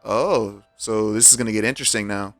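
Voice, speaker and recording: A man's voice: fairly low pitch, fairly quick, a bit bright, soft background music. A man streaming a game, offhand and casual, musing aloud as he comments into a close microphone.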